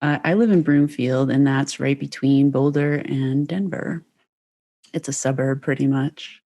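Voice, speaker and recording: A woman from Pennsylvania, a guest on a podcast, chatting in an easygoing, soft-spoken way. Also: a bass voice, fairly even intonation, unhurried. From Pennsylvania, United States. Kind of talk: casual interview chat.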